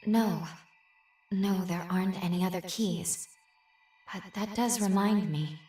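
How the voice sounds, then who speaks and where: A woman voicing a thoughtful, analytical researcher who reasons aloud in a measured way in a game cutscene. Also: medium pitch, fairly even intonation, mellow, close to an everyday voice. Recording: roomy, slightly distant, light music.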